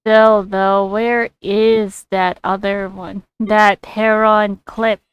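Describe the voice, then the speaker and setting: A woman's voice: medium pitch, level tone, drawn-out words, smooth, no room sound, lo-fi sound. A woman giving casual gameplay commentary, musing aloud to herself as she plays. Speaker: game streamer.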